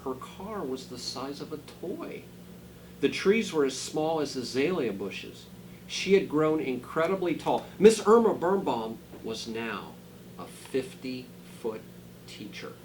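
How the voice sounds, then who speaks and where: A man reading a children's storybook aloud dramatically, dropping into quieter asides to his listeners. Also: mid-range voice, big pitch swings, takes it slowly, slight background noise, low-quality recording. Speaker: school superintendent reading a picture book aloud.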